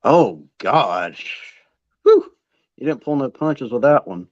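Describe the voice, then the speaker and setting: A man's voice: medium pitch, very animated, slow, no room sound, lo-fi sound. A man who works as a wrestling ring announcer, chatting casually as an interview guest and rambling with plenty of fillers. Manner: rambling, self-deprecating, many fillers.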